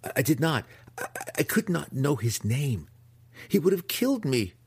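A man voicing an anxious, stammering male dark elf wizard in a fantasy audiobook. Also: sits low, a little fast, breathy edge. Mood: frightened.

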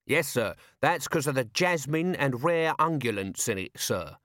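A man as a guileless, chatty lowly watchman. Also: expressive, a little slow, fairly bright tone, no echo, studio quality. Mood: pleased, earnest. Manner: earnest, chatty, unrefined. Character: guileless, deferential lowly male watch corporal.

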